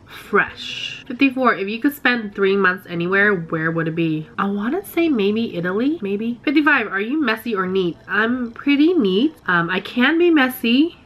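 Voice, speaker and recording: A woman's voice: mid-range voice, expressive, moderate pace, hardly any pauses, dull audio. A woman in her twenties who sounds nasal from a cold, casually reading questions aloud and answering them chattily in her bedroom. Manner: reads each question number brightly, then answers chattily. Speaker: beauty vlogger.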